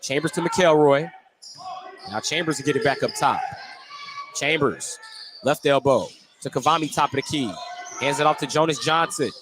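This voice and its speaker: A male radio announcer with a fairly high voice calls a basketball game live. He describes the action in short, clipped phrases and gets excited on big plays. The noisy ambience of an indoor gym sits clearly behind him. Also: normal speed, long pauses. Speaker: play-by-play radio sports announcer. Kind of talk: live basketball play-by-play commentary.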